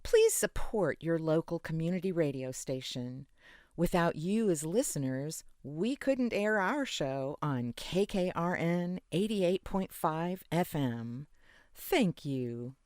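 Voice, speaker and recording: A woman's voice: low voice, lively intonation, slow pace, a little mellow, no echo, studio quality. A woman reading a scripted community radio station announcement.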